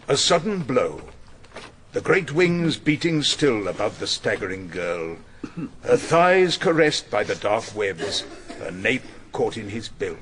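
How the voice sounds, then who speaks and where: An actor playing a wily, irascible older English defence barrister in a radio drama, theatrical, probing and dryly ironic. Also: male voice, medium pitch, a bit bright, slight background noise. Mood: declaiming verse. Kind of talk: radio drama courtroom acting and narration.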